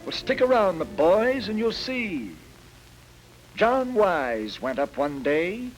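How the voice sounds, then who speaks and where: A man's voice: high voice, sing-song, slow pace, heavily acted, low-quality recording. An actor playing a wry, playfully pompous male lecturer giving comic commentary in a 1940s training film. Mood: wry, amused. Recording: 1940s film soundtrack over music.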